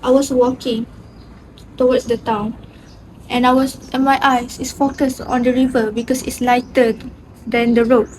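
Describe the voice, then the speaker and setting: Casting: amateur actress as troubled villager with tragic news, high female voice, stagey delivery, noisy recording. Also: level tone, moderate pace, natural voice. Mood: grave recollection. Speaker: amateur student actor. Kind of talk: stage play dialogue, recited.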